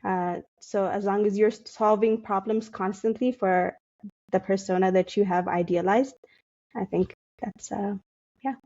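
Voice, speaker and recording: A young woman from Ethiopia, a digital marketer, answering interview questions thoughtfully with many pauses and fillers. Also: mid-range voice, fairly even intonation, dark tone, muffled recording. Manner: thoughtful, hesitant with many fillers, laughs often. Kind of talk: casual interview.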